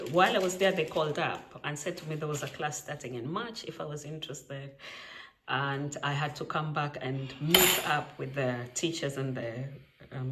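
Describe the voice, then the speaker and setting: Kenyan lady vlogging from home, lowish voice, laughs a lot, noisy background. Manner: chatty, laughs often. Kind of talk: casual talk to camera. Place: quiet home.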